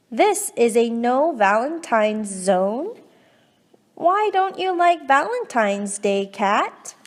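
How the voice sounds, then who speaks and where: A woman reading a children's picture book aloud expressively, coaxing and reacting as if chatting with the character. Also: a little high. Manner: animated, coaxing, exaggerated reactions. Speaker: children's storybook reader. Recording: long hall-like reverb.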